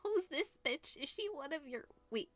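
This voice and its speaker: A woman's voice: sits high, lively intonation, normal speed, a bit bright, no echo, soft background music, pitched above the performer's own voice. A woman voicing a jeering, crude teenage schoolboy bully in a visual novel, with a taunting manner. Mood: mocking. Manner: taunting, sneering.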